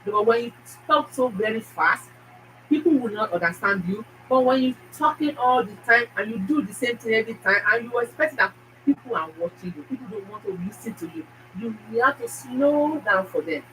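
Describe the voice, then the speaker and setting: A woman's voice: a little high, lively intonation, fairly quick, sharp tone, distant mic, a little hiss or hum, poor audio. A woman with a Nigerian accent hosting her own channel, talking emphatically to viewers and repeating her phrases. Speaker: YouTube channel host. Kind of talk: casual motivational talk to viewers.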